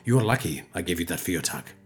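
A man's voice: sits low, lively intonation, quick pace, husky, faint music behind. A man playing a grumbling, exasperated workshop mechanic in an audio drama.

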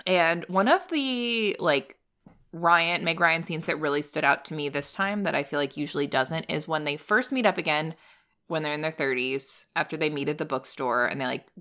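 A woman's voice: sits low, moderate pace, a bit bright. A woman in her thirties co-hosting a podcast, chatting eagerly about a film and laughing often. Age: 30s. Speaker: podcast co-host. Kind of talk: casual film discussion.

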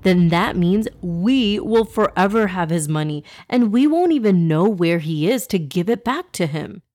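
A woman's voice: fairly low pitch, animated, a little slow, darkish tone, dry recording, clean studio sound. Woman voicing an earnest, eager young boy. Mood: thoughtful. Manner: pleading, reasoning. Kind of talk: children's storybook dialogue.

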